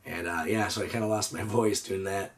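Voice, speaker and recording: Hoarse man, 30s, relaxed reptile-channel host, lowish voice, mic at a distance. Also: brisk. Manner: easygoing, lots of "uh" and "so". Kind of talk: casual show-and-tell vlog. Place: indoor reptile room with storage drawers.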